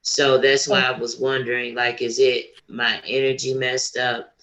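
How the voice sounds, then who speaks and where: A man's voice: mid-range voice, level tone, unhurried, bright, forceful tone, echoey room. A man taking part in a class, speaking informally and thinking aloud as he asks and replies. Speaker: class participant. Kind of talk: casual question and reply in a class. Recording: dull, lo-fi call audio.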